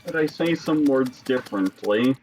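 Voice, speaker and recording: A young man from Chicago with a Chicago accent, chatting casually with viewers during a game stream and musing between pauses. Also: medium pitch, animated, a little mellow, a little hiss or hum, low-quality recording. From Chicago, Illinois. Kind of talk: casual live-stream chat while gaming.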